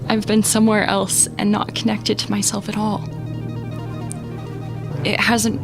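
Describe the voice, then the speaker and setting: A woman playing a scared young student captain in an audio drama, self-doubting but determined, speaking in halting bursts. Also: medium pitch, lightly acted. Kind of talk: audio drama monologue as a recorded message.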